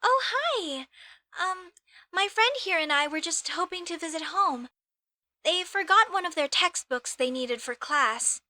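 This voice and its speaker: Woman acting a high, bright young elf mage. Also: a little slow, no echo, studio quality, exaggerated voice. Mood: bright, nervous cover. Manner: expressive, chatty, mock-indignant at times, softening to sincere. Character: earnest young female elf mage, proud, playfully teasing. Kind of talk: comedic audio roleplay, speaking intimately to the listener.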